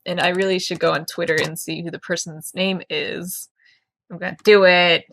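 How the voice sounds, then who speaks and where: A woman's voice: lowish voice, level tone, moderate pace, gravelly, a bit bright, a little hiss or hum. A woman co-hosting a podcast, discussing a book in a casual, candid, conversational way. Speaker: podcast co-host.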